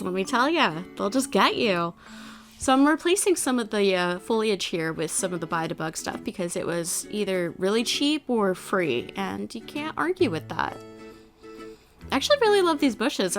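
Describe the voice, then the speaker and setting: A woman's voice: mid-range voice, fairly bright tone. A young woman with a stuffy, nasal voice from allergies, chatting casually and rambling as she talks through her video. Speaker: video creator. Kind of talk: casual commentary over a building video. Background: background music.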